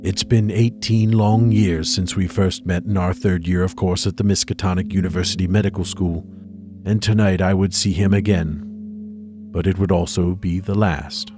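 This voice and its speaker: A man playing a haunted, appalled doctor in a horror audio drama, speaking gravely and dramatically. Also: low voice, fairly even intonation, normal speed, over music, close to an everyday voice. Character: haunted, horrified male doctor, reluctant lab assistant.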